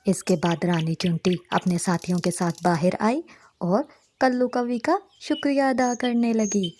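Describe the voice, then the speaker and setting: A woman's voice: mid-range voice, normal speed, darkish tone, a little hiss or hum. A woman narrator reading a scripted moral story for a cartoon in storybook style.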